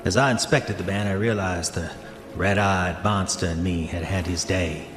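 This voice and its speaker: An actor playing a haunted man of an older century, gravely and formally reading his murder confession in a horror audio drama. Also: low voice, echoey room, over music, lightly acted. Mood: grim, confessional. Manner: grave, formal, measured storytelling. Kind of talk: audio drama diary monologue.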